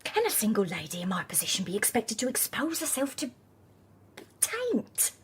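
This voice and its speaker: A woman's voice: a little high, wildly swooping pitch, normal speed, slight background noise. An actress playing a prying, sanctimonious, self-pitying Victorian landlady in a radio drama, with prim, theatrical British speech and false sympathy. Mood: prim, disapproving.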